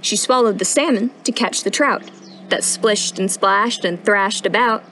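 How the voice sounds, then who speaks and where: A young woman reading a picture book aloud at storytime in an expressive, sing-song rhyming delivery, recorded outdoors. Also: mid-range voice, measured pace, a little hiss or hum. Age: young adult. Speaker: storytime reader, intern. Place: outdoors on a wooden porch.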